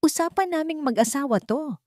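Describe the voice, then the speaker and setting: A woman's voice: high-pitched, animated, a little fast, darkish tone, dry recording, pitched above the performer's own voice. Storybook spurned boyfriend, performed by a woman: dramatic, insistent, easily riled, dry sound. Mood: irritated. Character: pleading, easily irritated man, a rejected former lover.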